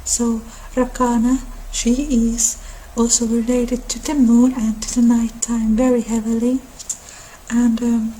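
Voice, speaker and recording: Female ASMR host, fairly high and even in pitch, halting delivery, some background noise. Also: low-quality recording. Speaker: video channel host. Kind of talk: ASMR-style informal mythology talk.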